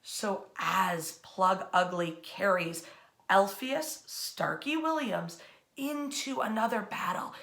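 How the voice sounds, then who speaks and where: A woman with an American accent tells history like a storyteller. Her voice sits a little high and sounds bright and forceful. She phrases theatrically, leaning on key words and pausing for effect. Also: gravelly. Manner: emphatic, theatrical phrasing with deliberate pauses. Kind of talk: dramatic bedtime-style history storytelling.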